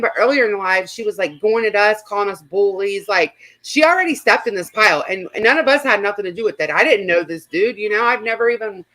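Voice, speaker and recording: A woman's voice: medium pitch, pushed and bright, low-quality recording. A woman hosting a live stream with casual reaction commentary, rambling and emphatic, often repeating herself.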